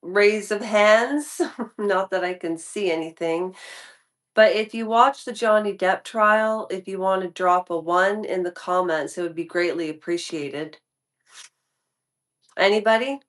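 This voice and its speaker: A woman's voice: mid-range voice, unhurried, a bit bright. A woman in her fifties talking casually to her viewers in a vlog, rambling and often restarting her sentences. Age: 50s. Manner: rambling, restarts and trails off mid-thought. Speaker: YouTube commentary creator.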